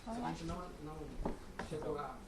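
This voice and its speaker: A man's voice: on the high side, expressive, roomy sound. Distant noisy-room man asking question. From Salvador, Brazil. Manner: halting, searching for words. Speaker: audience questioner, professor. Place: auditorium audience. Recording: far-off noisy room mic.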